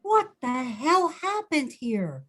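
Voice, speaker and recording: A woman's voice: high-pitched, big pitch swings, takes it slowly, a bit bright, full character voice. A woman playing a worried, exasperated friend in a drama table read, speaking urgently. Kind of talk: screenplay table read acting.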